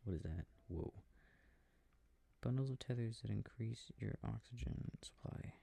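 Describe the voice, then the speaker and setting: A man playing an affectionate boyfriend in an intimate ASMR sleep-aid roleplay, murmuring and rambling softly with a breathy voice, close to the microphone. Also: on the low side, a little slow, full character voice. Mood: absorbed, mildly puzzled. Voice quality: soft, husky, breathy. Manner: murmured, rambling, gently bemused.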